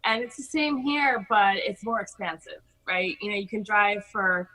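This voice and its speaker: A middle-aged American woman with a very bright, forward voice. She talks in a chatty, effusive way, sharing personal stories casually as an interview guest. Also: mid-range voice, moderate pace, low-quality recording. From Hawaii, United States. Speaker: podcast guest.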